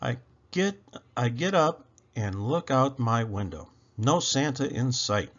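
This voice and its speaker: A man reading a children's story aloud with expressive narration, as a storybook reader. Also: medium pitch, slow, lo-fi sound.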